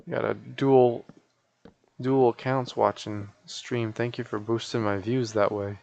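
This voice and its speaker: A man's voice: medium pitch, steady tone, measured pace, gravelly, a little mellow, muffled recording, slight background noise. A sleepy man chatting casually and in a rambling, folksy way as he live-streams a game.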